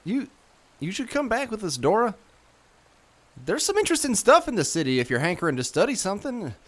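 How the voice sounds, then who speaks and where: A fairly high, animated man's voice for an awkward young crewman, acted by a man. He stammers and trips over his words, with pitch that rises and drops a lot. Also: brisk, heavily acted. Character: awkward, earnest young man, a crew member.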